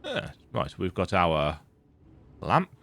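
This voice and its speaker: A man with a croaky voice giving casual, rambling, self-deprecating commentary while he plays a video game. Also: sits low, wildly swooping pitch, takes it slowly, fairly bright tone. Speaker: let's play gamer.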